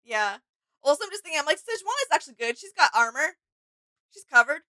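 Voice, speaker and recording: A woman's voice: high voice, sing-song, fast talker, bright, forceful tone. A woman co-hosting a reaction video in an informal, agreeable, casual chat.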